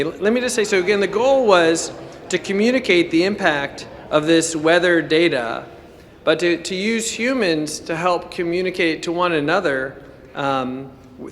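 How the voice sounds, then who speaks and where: A man's voice: fairly high pitch, a bit bright. A man giving an informal conference talk as a college teacher, conversational, often breaking off to rephrase himself. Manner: conversational and self-interrupting, with halting rephrasing. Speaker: college teacher presenting at a symposium. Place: indoor lecture hall at a symposium. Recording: reverberant hall sound.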